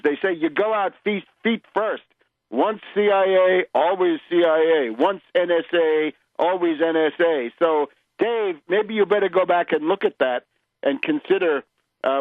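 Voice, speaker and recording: High-pitched man, emphatic radio commentator. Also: no room sound. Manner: emphatic, insistent, punctuates points with "right?"; sardonic, scornful. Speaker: political radio show host. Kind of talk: political commentary monologue, polemic. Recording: muffled lo-fi radio audio.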